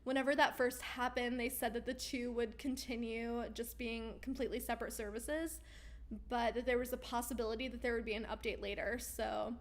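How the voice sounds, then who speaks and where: A chatty woman hosting a live stream, her fairly high voice going hoarse as she reads out viewer comments. She talks casually and upbeat, with frequent ums and easy laughs. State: losing her voice. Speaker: host of a weekly live Q&A stream. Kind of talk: casual livestream chat, reading viewer comments aloud.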